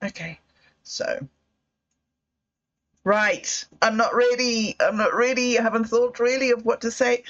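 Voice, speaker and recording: Slow, unhurried woman telling stories. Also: on the high side, fairly even intonation, a bit bright. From Zimbabwe. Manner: unhurried, laughing, trailing off. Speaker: livestream host. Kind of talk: rambling personal storytelling. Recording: dull lo-fi sound.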